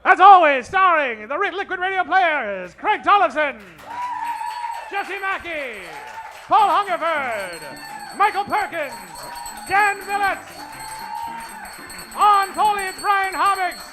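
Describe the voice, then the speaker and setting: A man's voice: extremely high pitch, lively intonation, takes it slowly, over music. A man hosting and narrating an old-time radio comedy serial with a dramatic announcer delivery. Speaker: host and narrator.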